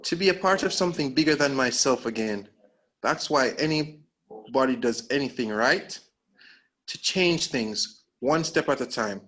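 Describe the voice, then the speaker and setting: Guy reading the part of a haunted brooding man in a web drama, guilty, thinks out loud, mid pitched voice. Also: lively intonation, measured pace, poor audio. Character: brooding, guilt-ridden man seeking redemption in thriller drama. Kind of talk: table read of web series drama.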